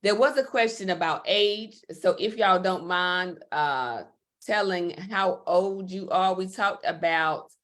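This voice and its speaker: Woman host, upbeat, encouraging, lowish voice. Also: slow pace, a bit bright. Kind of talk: livestream interview hosting.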